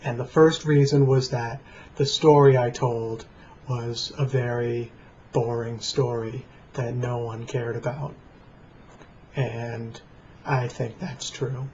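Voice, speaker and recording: An American man rambling casually and deadpan to camera in a plain, flat voice. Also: medium pitch, takes it slowly. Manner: deadpan, dry. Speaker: vlogger. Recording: dull lo-fi webcam sound, faint noise.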